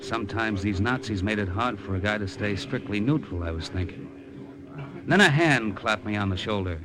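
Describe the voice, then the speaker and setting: An actor playing a cynical, tough-talking American adventurer who narrates in a wry, slangy, clipped radio drama style. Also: male voice, lowish voice, moderate pace, natural voice. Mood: sardonic. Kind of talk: 1950s radio drama acting, hard-boiled narration. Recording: old radio recording with music behind.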